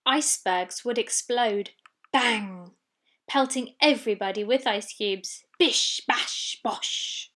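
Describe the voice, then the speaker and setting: A woman's voice: on the high side, slow pace, fairly bright tone. A woman reading a children's storybook expressively, with dramatic delivery of sound words. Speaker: story reader.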